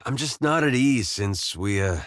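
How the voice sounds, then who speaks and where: An actor with an American accent playing a gentle, weary farmer turned soldier in a video game, speaking with a folksy, plainspoken drawl. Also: male voice, mid-range voice, expressive, a little slow, no echo, clean studio sound, lightly acted. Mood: troubled, earnest. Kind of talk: video game character dialogue.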